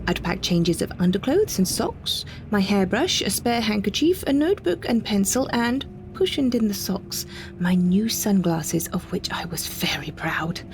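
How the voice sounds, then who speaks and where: A woman's voice: mid-range voice, big pitch swings, a little slow, darkish tone. British woman narrating a story, noisy background. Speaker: narrator, solo performer of an audio story. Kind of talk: scripted first-person story narration.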